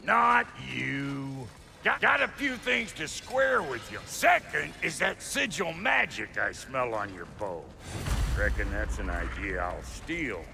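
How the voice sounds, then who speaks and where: An actor playing a gruff, cranky dwarf blacksmith in a video game, with a gravelly, blunt delivery. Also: male voice, medium pitch, sing-song, takes it slowly, bright, forceful tone, a little hiss or hum, full character voice. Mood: brash, gruff. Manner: blunt, folksy, brash. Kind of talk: video game character acting.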